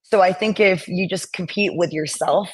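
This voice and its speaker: Raspy-voiced woman musician on a call. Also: fairly low pitch, normal speed. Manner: earnest, rambling with many fillers. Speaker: musician guest. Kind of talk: casual interview chat. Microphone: laptop on a video call.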